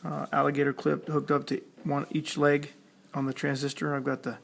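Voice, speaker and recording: A man's voice: fairly high pitch, level tone, moderate pace, gravelly. A man giving a casual hands-on tutorial, talking plainly step by step as he works at a repair task. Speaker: electronics hobbyist demonstrating a repair.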